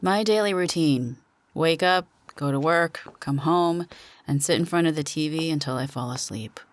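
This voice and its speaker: A woman's voice: deep voice, normal speed. A woman narrating a suspense story in the first person, as a dramatic reading.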